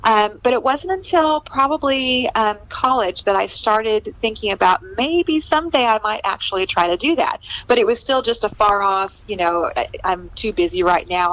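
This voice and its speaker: A woman's voice: mid-range voice, fairly bright tone, poor audio. A middle-aged American woman from California chatting as an interview guest in a conversational, self-deprecating way. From California, United States.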